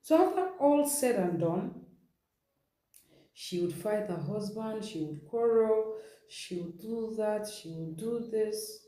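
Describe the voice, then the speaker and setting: Dark-voiced woman telling a story. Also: medium pitch, expressive, takes it slowly. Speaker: storytelling host. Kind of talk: informal storytelling from a listener's account. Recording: roomy, echoing sound.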